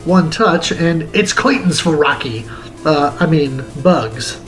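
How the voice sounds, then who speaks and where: A man hosting a retro game review, reading a wordy script in a measured, presenter-like way. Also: fairly high pitch, lively intonation, rough recording. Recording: music bed under the voice. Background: music.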